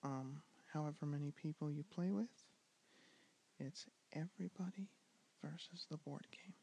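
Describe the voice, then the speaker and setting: A young man with a soft, gentle voice teaching a board game in a soft-spoken ASMR style, slipping in and out of whispers and pausing with ums. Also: a little high, vocal fry, breathy edge, poor audio. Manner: drifting in and out of whisper, hesitant with many ums. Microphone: handheld camera mic.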